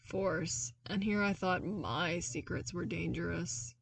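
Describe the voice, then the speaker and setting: A woman's voice: on the low side, slow, creaky. Lady doing a wry young guy in a story, blunt n grumbly but playful, bit far from mic. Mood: wry, frank.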